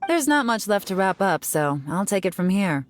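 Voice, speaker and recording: A woman's voice: mid-range voice, sing-song, normal speed, slight background noise, lightly acted. An actress voicing a self-assured woman investigator who speaks in a dry, confident, teasing manner. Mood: cool. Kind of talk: video game voice acting.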